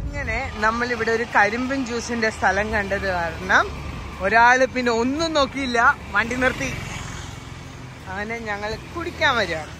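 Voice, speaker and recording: A woman's voice: fairly high pitch, animated, moderate pace, noisy background. A woman with a Kerala Malayalam accent narrating a road trip from inside a moving car, chatting and pointing out sights as she goes. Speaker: travel vlogger. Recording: background music over the talk.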